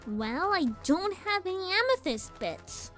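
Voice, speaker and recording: A scheming, perky little girl pony from a cartoon audio story. Very high, bright and animated, she sounds sweetly cocky. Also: measured pace, full character voice. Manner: perky, falsely innocent. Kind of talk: animated-series fan fiction audio drama. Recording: music under the voice.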